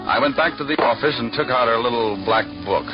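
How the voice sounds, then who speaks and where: An actor playing a hard-boiled private detective, dry, sardonic and slangy, in 1940s radio noir style. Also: male voice, medium pitch, fairly quick, close to an everyday voice. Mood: wry, narrating. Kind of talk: first-person noir narration, 1940s radio acting. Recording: muffled vintage radio recording with music.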